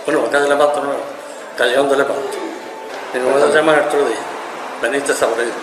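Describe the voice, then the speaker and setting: An older man from Spain, a grandfather, talking about his family and his playing at dances in a halting, repetitive way. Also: mid-range voice, steady tone, background noise. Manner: halting, repeating himself, simple phrasing. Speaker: interviewee, amateur musician. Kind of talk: casual recollection to camera. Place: indoor room, seated. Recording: echoey room with music underneath.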